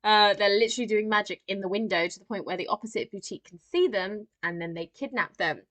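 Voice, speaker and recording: A woman's voice: mid-range voice, fast, a bit bright. A woman co-hosting a podcast, chatting casually and wryly about film. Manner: chatty, wry, swears casually. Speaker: podcast co-host. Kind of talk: casual film discussion chat.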